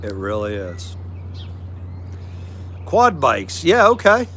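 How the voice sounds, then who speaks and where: A man's voice: mid-range voice, wildly swooping pitch, slow. A man with an American accent hosting a livestream, chatting casually and agreeably with viewers in a friendly way. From United States. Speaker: livestream host. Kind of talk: casual chat with live viewers. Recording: clear background noise, vehicle-like ambience.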